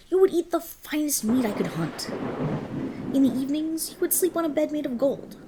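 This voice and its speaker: A woman voicing a proud, possessive dragon queen in a dramatic ASMR roleplay, with regal, commanding, formal diction and a breathy, husky, creaky voice. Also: mid-range voice, a little mellow. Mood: commanding, seductive.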